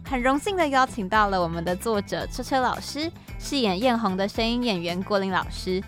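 A woman's voice: medium pitch, lively intonation, fairly quick, soft background music. A woman with a Taiwanese Mandarin accent co-hosting a podcast in a chatty, self-deprecating way. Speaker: podcast host and audio drama scriptwriter.

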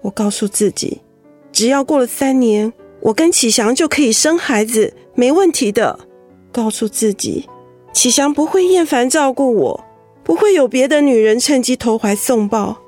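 An actress in a Mandarin radio drama playing a vulnerable young wife, earnest, emotional and confiding. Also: female voice, on the high side, animated, normal speed, a little mellow, soft background music. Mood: anxious, tearful. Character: vulnerable young wife holding back tears after illness.

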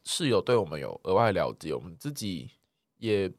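A talkative, expressive man co-hosting a podcast, chatting casually as he replies to listener comments. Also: low-pitched, moderate pace, dry recording, studio quality. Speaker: podcast co-host.

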